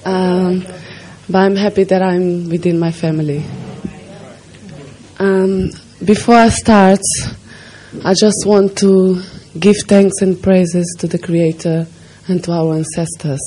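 A woman who grew up in Portugal giving a talk at a conference, with a gentle and slightly nervous manner. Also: fairly low pitch, flat delivery, slow pace, slight background noise, rough recording. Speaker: conference speaker. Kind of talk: speech at a conference.

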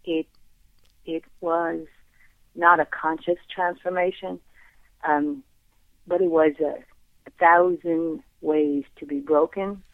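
A Haitian-born woman, a guest on a radio interview, speaking earnestly and reflectively and pausing to find her words over the phone. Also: low voice, fairly even intonation, no room sound. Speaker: guest, playwright and human rights attorney. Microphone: phone line. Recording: tinny dry phone line.

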